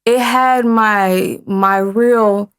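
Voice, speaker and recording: Halting woman in her thirties. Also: fairly high pitch, steady tone, smooth. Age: 30s. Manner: halting, frequent restarts. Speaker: guest singer. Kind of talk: casual interview chat.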